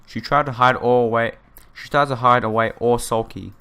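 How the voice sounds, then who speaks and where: A man's voice: mid-range voice, steady tone, normal speed, sharp tone, clear, resonant tone. A man doing a casual let's play of a visual novel, reading the text aloud with side comments and stumbling over some words. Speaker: gaming YouTuber playing a visual novel. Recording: music playing behind the voice. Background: game music.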